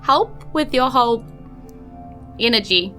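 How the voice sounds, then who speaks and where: A sweet, devoted shop woman, played by a female game master in a tabletop fantasy game. Her voice is high and bright, swooping as she gushes and hesitates, eager to oblige. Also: expressive, unhurried, faint music behind. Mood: sweetly obliging.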